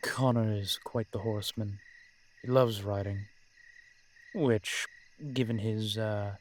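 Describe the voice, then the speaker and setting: A man playing a courteous, centuries-old vampire in an audio roleplay, speaking in a measured, formal, genteel way with deliberate pauses. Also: on the low side, fairly even intonation, slight background noise. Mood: measured, confiding. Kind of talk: scripted audio roleplay addressed to the listener.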